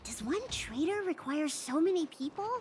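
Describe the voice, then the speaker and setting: A woman's voice: high-pitched, animated, normal speed, noisy background. A woman voicing a spirited, cheeky little dragon girl healer in a video game. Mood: curious. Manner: cheeky, feisty. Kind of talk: voiced game dialogue.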